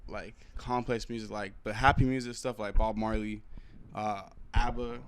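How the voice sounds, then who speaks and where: A man's voice: fairly low pitch, fairly even intonation, moderate pace, slight background noise. A man in his twenties, born in South Africa and raised in London, chatting casually as a rapper guest, laid-back and full of fillers. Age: 20s. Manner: laid-back, lots of "like" and "you know what I'm saying". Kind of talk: casual interview chat.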